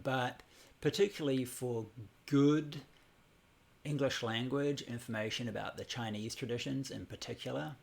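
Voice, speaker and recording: Gravelly-voiced man teaching a livestream class. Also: mid-range voice. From New Zealand. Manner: relaxed, rambling, many fillers and restarts. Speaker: Buddhism teacher. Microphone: computer or phone livestream.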